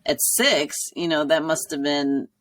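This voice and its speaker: A woman's voice: deep voice, steady tone, unhurried, a bit bright. A woman over forty hosting a podcast, an enthusiastic interviewer who laughs easily. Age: 40s or older. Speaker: podcast host.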